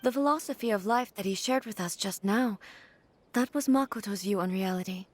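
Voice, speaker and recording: A woman voicing a composed, formal female ruler in a game, speaking calmly and with measure. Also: mid-range voice, fairly quick, no echo, natural voice. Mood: solemn. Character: regal, composed female ruler, calm and solemn.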